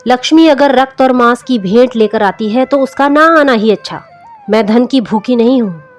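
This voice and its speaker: A woman voicing a caring young wife who gently chides, in a story reading. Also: on the high side, moderate pace, close to an everyday voice. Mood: earnest. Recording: music under the voice.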